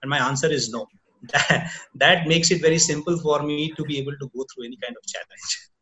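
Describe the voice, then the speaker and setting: A man's voice: a little high, fairly quick, sharp tone, poor audio. A man with an Indian English accent, a company co-founder answering interview questions in a reflective, slightly philosophical way. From Odisha, India.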